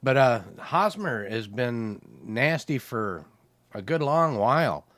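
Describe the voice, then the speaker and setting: A man's voice: mid-range voice, very animated, slow, a bit bright, no echo. A man co-hosting a podcast, chatting casually and rambling with lots of "you know" fillers. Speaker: podcast co-host. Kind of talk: casual chat.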